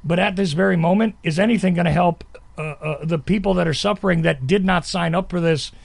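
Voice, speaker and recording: A man's voice: high voice, quick pace, vocal fry, dry recording. A man hosting a podcast interview, talking in a rambling, emphatic way that keeps interrupting itself. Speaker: podcast host. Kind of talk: conversational interview.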